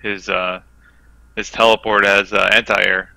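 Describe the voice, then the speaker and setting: A man's voice: lowish voice, level tone, measured pace, creaky, sharp tone, dry recording, low-quality recording. A man giving his casual take on a game as a guest on a podcast. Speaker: guest player on a gaming podcast. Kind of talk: casual chat, game analysis.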